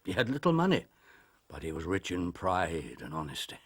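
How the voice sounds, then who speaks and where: Older English country doctor, radio drama, formal, earnest, compassionate, very lively intonation. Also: male voice, sits low, normal speed, breathy edge, exaggerated voice. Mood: sombre grief. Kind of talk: British radio drama acting.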